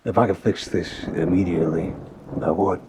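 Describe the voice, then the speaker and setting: A man playing a soft-spoken, apologetic mafia boss boyfriend in an intimate ASMR roleplay, hesitant and gentle, with a low, husky, breathy voice. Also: steady tone, background noise, natural voice. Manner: hesitant, gentle, sighing pauses. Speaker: audio roleplay performer. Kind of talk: ASMR audio roleplay, one-sided intimate phone call.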